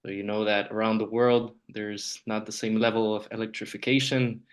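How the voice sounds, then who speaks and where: A man's voice: on the low side, level tone, moderate pace. A man from Honduras, a native Spanish speaker, answering conversationally as an interview guest. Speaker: podcast guest, nuclear trainer.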